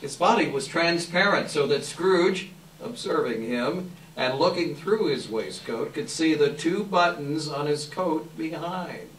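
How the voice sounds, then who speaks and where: Male storyteller for staged reading, emphatic and measured, sharp tone, roomy sound with faint background noise. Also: on the high side. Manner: measured, theatrical, emphatic phrasing. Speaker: narrator. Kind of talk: dramatic scripted narration, live readers theater. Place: indoor live event room. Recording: echoey room, distant mic, faint noise.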